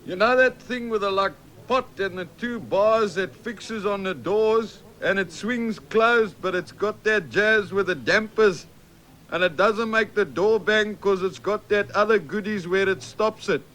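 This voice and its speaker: A slow-witted South African hardware clerk for a 1970s radio sketch. The voice is high for a man, slangy and utterly unbothered. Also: a bit bright. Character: dim, obliviously unhelpful male hardware shop assistant.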